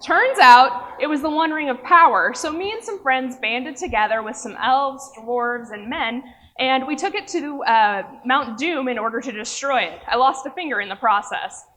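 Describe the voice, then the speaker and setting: A young woman from Oklahoma speaks from a stage in a fairly high voice. She tells her story in a rehearsed way and pokes fun at herself as she goes. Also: expressive, moderate pace, sharp tone, low-quality recording. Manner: wry, self-mocking humor. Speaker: speaker at a live event. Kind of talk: rehearsed personal talk. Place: stage before an audience.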